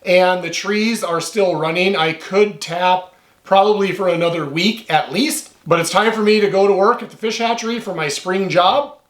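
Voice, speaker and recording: Man, hoarse, high voice, roomy echo, relaxed rambling vlog talk. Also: moderate pace. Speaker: outdoor vlogger. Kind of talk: casual vlog talk to camera. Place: off-grid cabin in the northern woods.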